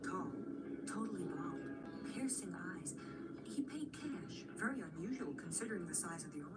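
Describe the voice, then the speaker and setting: Actress as folksy shopkeeper woman, distant, loud music. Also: fairly low pitch, expressive, moderate pace, lightly acted. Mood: apologetic, informative. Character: chatty small-town American female shopkeeper. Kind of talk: radio drama acting.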